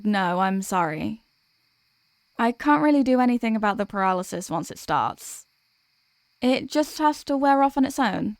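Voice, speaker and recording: A woman's voice: mid-range voice, gravelly, no room sound, clean studio sound. A woman playing a teasing sleep paralysis demon who can be menacing or apologetic and tender, in an intimate ASMR audio roleplay. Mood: flustered, apologetic. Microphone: close microphone, dry.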